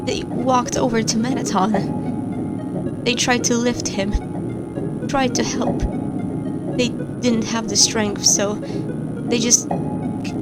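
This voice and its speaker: A woman as a jittery monster scientist, stammering, slow, fairly high voice, loud music theme underneath. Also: rough recording. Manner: halting, stammering, fearful. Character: nervous stammering female monster scientist keeping logs. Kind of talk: audio log horror narration.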